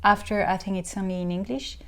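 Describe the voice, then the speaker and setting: A woman interviewer asking halting questions, with many fillers and restarts. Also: medium pitch, steady tone, a bit bright. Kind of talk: casual interview talk.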